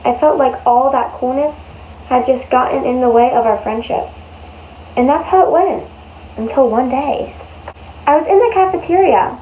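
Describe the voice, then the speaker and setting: A woman reading a picture book aloud to young children in an expressive way, with exaggerated emphasis and drawn-out words. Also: high-pitched. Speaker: children's storyteller. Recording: dull lo-fi sound with faint noise.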